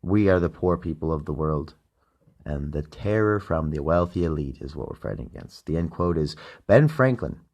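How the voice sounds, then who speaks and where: A deep-voiced Canadian man talks through a loose solo podcast monologue. He rambles and swears freely, his words sometimes sinking into a mutter and then jumping up into loud, emphatic lines. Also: lively intonation, normal speed. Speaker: podcast host. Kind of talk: casual late-night solo monologue.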